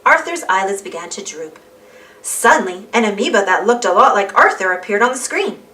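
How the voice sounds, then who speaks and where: A woman reading a children's storybook aloud in an animated narrating style. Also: medium pitch, brisk, far from the mic, a little hiss or hum, low-quality recording. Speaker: storybook reader.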